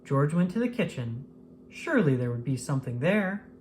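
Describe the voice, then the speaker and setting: Lively, lower-pitched woman reading a storybook. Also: moderate pace, slight background noise. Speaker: children's book read-aloud narrator. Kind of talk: scripted storybook narration.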